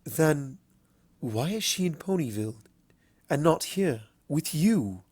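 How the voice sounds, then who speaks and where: A man's voice: fairly high pitch, lively intonation, takes it slowly, a little mellow, husky, dry recording, full character voice. A man voicing a proud, dry-witted younger pony princess in a storybook reading, formal and guarded. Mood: guarded, probing.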